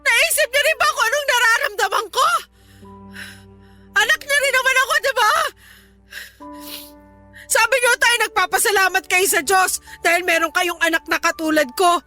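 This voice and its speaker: High-voiced, wounded Filipino daughter. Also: female voice, moderate pace, pauses often, bright, forceful tone, faint music behind. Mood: reproachful, hurt. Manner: emotional, reproachful, pleading. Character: hurt, resentful adult daughter, dutiful family breadwinner. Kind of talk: Filipino radio drama acting.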